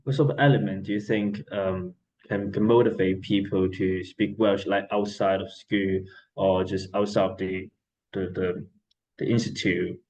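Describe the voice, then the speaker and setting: A man with a fairly low voice hosting a book club interview. He asks his questions haltingly, stopping on fillers and repeating words as he goes. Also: level tone, a little mellow, a little hiss or hum, low-quality recording.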